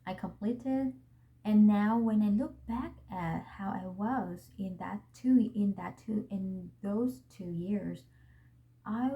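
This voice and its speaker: Slow, mellow woman with a Vietnamese accent. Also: medium pitch. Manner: gentle, earnest and thoughtful. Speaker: vlog host. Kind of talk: reflective talk to camera.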